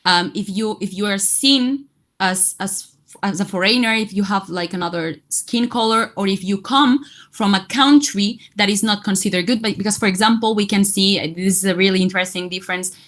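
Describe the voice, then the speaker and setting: Halting woman presenting in a webinar. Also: medium pitch, fairly bright tone. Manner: halting, many restarts and fillers. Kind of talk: webinar talk in English.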